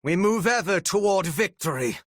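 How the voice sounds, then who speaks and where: Game role: cold, devoted male strategist, raised pitch with animated swings, archaic formal phrasing, menacing rasp. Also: moderate pace, no room sound, clean studio sound. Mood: rousing battle command. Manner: formal, archaic, theatrical diction. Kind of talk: video game voice acting.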